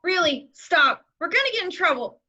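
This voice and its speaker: Woman reads a whiny, fretful boy; high and bright, dull recording. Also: sing-song, moderate pace, full character voice. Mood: nervous. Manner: worried and whiny. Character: anxious germ-fearing boy, fussy and nervous. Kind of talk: table read over a call.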